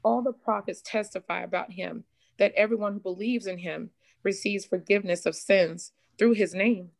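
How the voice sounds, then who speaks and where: A woman reading a bible passage aloud in a steady, deliberate way during a church service. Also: mid-range voice, creaky, dry recording. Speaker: scripture reader.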